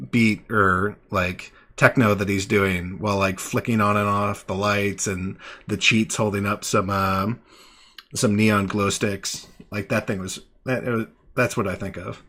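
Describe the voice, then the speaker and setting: A man's voice: lowish voice, unhurried. Chatty male talk-show host. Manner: relaxed, chatty, many fillers and restarts. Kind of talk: casual live call-in chat.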